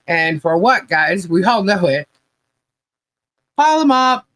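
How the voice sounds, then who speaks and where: A woman's voice: fairly low pitch, expressive, slow pace, a bit bright, no echo. A woman hosting a casual livestream podcast, sounding nasal today and rambling with restarts and laughs.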